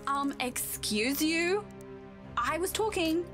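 A woman's voice: sits high, expressive, takes it slowly, music in the background. A woman playing a gloating, theatrical villain sorceress in an audio drama, drawling with sarcastic condescension. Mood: condescending.

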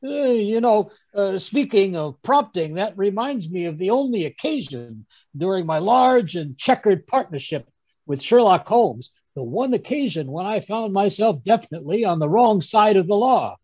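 Guy doing a fussy old man in a radio play, blustery and stammery, creaky voice, dull call audio. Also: high voice, sing-song, normal speed, a little mellow, no echo, heavily acted. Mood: reminiscing. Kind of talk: 1930s radio drama acting. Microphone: video call.

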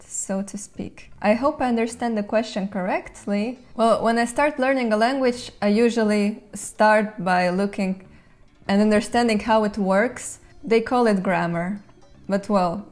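A young woman from Latvia whose first language is Russian, speaking English in a relaxed question-and-answer video, thoughtful with light laughs, on a lapel mic in a bright indoor room. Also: medium pitch. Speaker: language channel host. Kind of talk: casual Q&A to camera.

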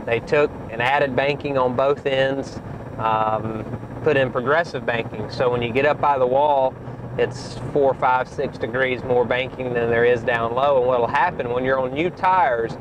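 A young man, a race car driver, casually explaining a track while driving, relaxed with many fillers and restarts. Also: medium pitch, unhurried. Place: inside a car on a racetrack. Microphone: in-car camera. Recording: muffled car-interior audio with road noise.

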